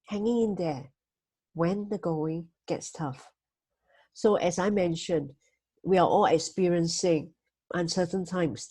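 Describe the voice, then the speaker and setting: Gentle woman, mellow and breathy. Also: lowish voice, no room sound. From Singapore. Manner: gentle, measured, frequent "you know" fillers. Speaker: host giving weekly life tips. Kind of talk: motivational talk with slides.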